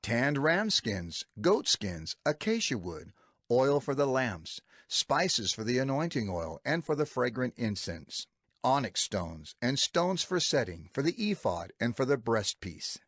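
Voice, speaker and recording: An actor playing a solemn, fervent male prophet in a dramatized scripture reading, declaiming deliberately to his people. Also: mid-range voice, very animated, a bit bright, no room sound, clean studio sound, heavily acted. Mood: solemn, instructing. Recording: music bed behind the voice.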